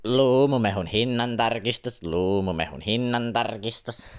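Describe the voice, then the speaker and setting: A woman voicing a grumpy, cynical cartoon forest creature who grumbles sarcastically in a comedic amateur fandub. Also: very deep voice. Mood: grumbling, cynical.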